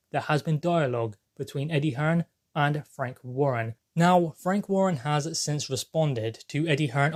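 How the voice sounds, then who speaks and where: Higher-voiced man presenting sports news. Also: darkish tone. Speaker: boxing news channel presenter. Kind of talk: news commentary to camera.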